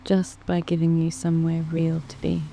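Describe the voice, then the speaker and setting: A woman with a British accent narrating a guided sleep meditation in a soft, calm, soothing way, with long measured pauses. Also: sits low, gravelly, slight background noise. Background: background music.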